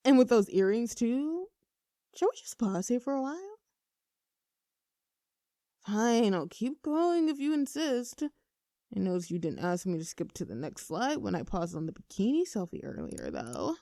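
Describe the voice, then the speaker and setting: Casting: actress playing nervous, teasing young best friend in love, earnest and conversational, intimate audio roleplay, very dry close sound. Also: female voice, a little high, sing-song, unhurried, warm tone, dry recording. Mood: playful teasing. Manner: playful, teasing, earnest, slightly flustered.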